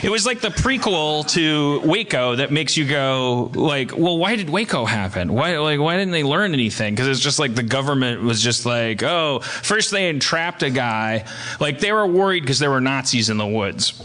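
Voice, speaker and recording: A man hosting a comedy podcast live, telling rambling unscripted stories in a halting, stammering, sweary way. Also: medium pitch, expressive, sharp tone. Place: live show before an audience. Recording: noisy live stage recording.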